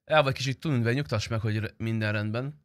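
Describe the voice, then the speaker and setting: A man's voice: medium pitch, clear, resonant tone, dry recording. A young adult man chatting casually and slangily on a game livestream, close to the microphone. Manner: informal, slangy, swearing. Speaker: game streamer.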